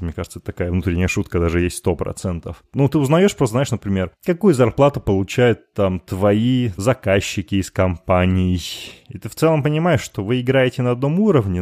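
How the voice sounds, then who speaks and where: A man from Chelyabinsk, a podcast guest talking in a quick, chatty, joking way. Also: fairly low pitch, darkish tone, no room sound, clean studio sound. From Chelyabinsk, Russia. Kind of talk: casual interview chat.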